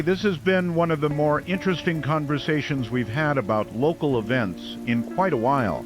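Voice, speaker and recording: An actor playing an affable small-town late-night radio host, polite, reassuring and folksy with callers and listeners. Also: male voice, medium pitch, lightly acted. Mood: folksy sign-off. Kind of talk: radio drama, late-night talk show hosting.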